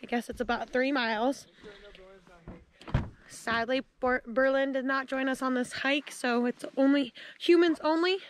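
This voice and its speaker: A woman's voice: high-pitched, fairly even intonation, measured pace. A woman in her twenties chatting casually to a handheld camera as a travel vlogger. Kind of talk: casual vlog chat to camera. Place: outdoors by roads, woods and parking lots. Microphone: handheld action camera at arm's length. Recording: outdoor noise and clicks on handheld camera.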